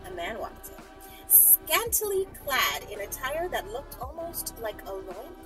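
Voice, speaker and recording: A woman's voice: on the high side, very animated, unhurried, over music, poor audio. A woman reading a story aloud as a channel host, breaking into casual, teasing and blunt asides.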